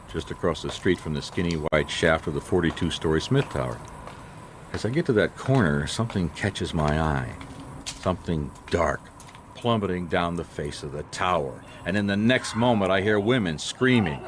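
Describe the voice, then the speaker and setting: A noisy radio drama track carries a man playing a hard-boiled American detective. The voice is low and dry, world-weary and offhand. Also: lightly acted. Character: world-weary, wry male private detective. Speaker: actor in a radio drama, also narrating. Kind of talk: noir radio drama narration and dialogue.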